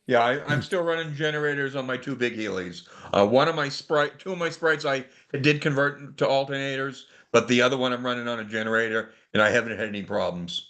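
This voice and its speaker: A man's voice: mid-range voice, fairly quick, few pauses, fairly bright tone. A man giving practical advice casually as a club member on a group call. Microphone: conference call.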